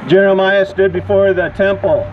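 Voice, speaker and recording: High-pitched man preaching through a megaphone. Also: fairly even intonation, normal speed. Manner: exhorting, declamatory. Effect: megaphone amplification. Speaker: street preacher and activist. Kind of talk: open-air protest preaching through a megaphone. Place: outdoors on grass beside a sidewalk and parking lot. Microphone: action camera beside the megaphone. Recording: dull outdoor recording with traffic noise.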